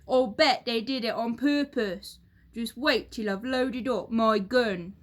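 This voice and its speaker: A woman voicing a grumpy, foolish husband in a children's story, shouting and blustering. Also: a little high, slow. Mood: angry.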